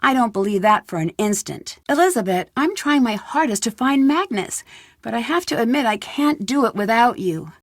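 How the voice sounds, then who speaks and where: A young woman sleuth in a video game, earnest, polite and persistent. Her voice is on the high side, with a clear, conciliatory delivery and a dry studio sound. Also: lively intonation, moderate pace, no room sound. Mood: earnest, pressing. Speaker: game protagonist.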